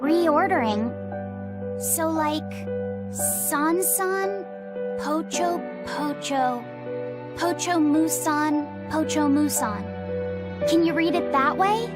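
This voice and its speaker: A woman's voice: sits high, takes it slowly. An actress playing a polite young boy pupil in a video game, speaking in an earnest, hesitant and respectful way. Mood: curious, earnest. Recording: loud music under the voice. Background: loud music.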